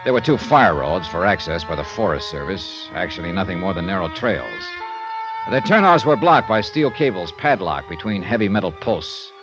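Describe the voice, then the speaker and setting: A wry radio private eye. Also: male voice, low-pitched, very animated, normal speed. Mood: laconic. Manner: dry, laconic first-person narration. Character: adult male insurance investigator, wry and easygoing. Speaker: narrator and lead. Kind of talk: 1950s radio drama acting. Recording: dull old radio broadcast with music.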